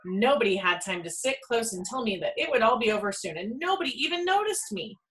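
A woman reading a children's picture book aloud in an expressive storytelling style. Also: fairly high pitch, brisk. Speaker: storybook reader.

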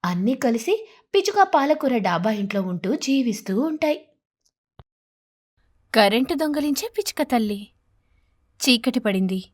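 A woman storyteller reading scripted narration for animated moral stories. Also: fairly high pitch, a little slow, pauses often.

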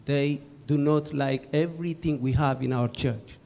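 A man's voice: mid-range voice, fairly even intonation, measured pace, a little mellow. A man with an East African accent, a pastor putting a question from the audience at a lecture. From Ethiopia or Eritrea. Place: indoor hall. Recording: clear background noise, room ambience.

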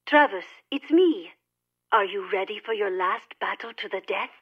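A cool, taunting femme fatale from a video game. She speaks in a teasing, breathy way at a middling pitch. Music plays clearly behind her. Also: lively intonation, moderate pace, no room sound, dull audio. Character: cool, taunting, seductive female assassin league agent. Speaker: voice actor in a game. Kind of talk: video game cutscene acting. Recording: game audio with music underneath.

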